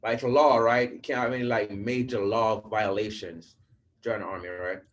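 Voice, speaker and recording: A man's voice: on the low side, steady tone, normal speed. A man from Jamaica, an army recruiter, adding points in a casual way and often checking with "right?". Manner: casual, keeps asking "right?". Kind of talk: informational talk.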